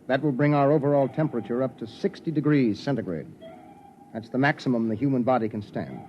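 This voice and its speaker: A man playing a grave, dry spaceship captain in an old radio drama, formal and measured as he commands. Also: medium pitch, lightly acted. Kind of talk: golden age radio drama acting. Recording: dull, noisy old radio recording.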